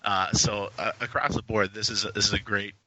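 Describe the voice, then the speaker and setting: A man's voice: lowish voice, flat delivery, brisk, sharp tone, dry recording. A man guesting on a gaming podcast, chatting casually and animatedly, repeating phrases for emphasis. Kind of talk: casual tabletop gaming chat. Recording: lo-fi line with noticeable noise.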